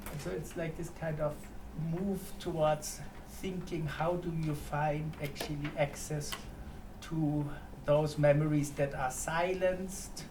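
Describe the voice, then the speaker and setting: A man from Germany facilitating a writing workshop, talking informally and reflectively, haltingly posing questions to the group. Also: fairly high pitch, fairly even intonation. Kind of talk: informal spoken introduction to a workshop. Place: echoey room at a live workshop. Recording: echoey room, distant mic, clear background noise.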